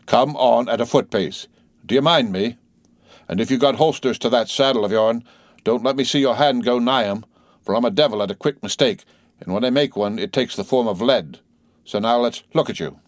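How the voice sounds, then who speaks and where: A man voicing a gruff, suspicious coach guard who keeps his gun ready. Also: mid-range voice, moderate pace, dry recording, clean studio sound, pitched above the performer's own voice, lightly acted. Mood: threatening. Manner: gruff, threatening.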